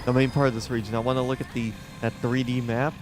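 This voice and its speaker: A man with a loud voice giving rambling, casual commentary while streaming a game live, often restarting his sentences and talking to the game. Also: medium pitch, little pitch movement, fast, a little hiss or hum. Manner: rambling, self-correcting, talks to the game.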